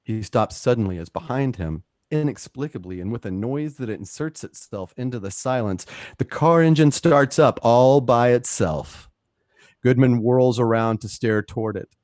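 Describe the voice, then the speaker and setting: A low-pitched man reads dramatic narration for a radio play. The sound is dry and close, with hardly any room in it. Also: darkish tone, no echo.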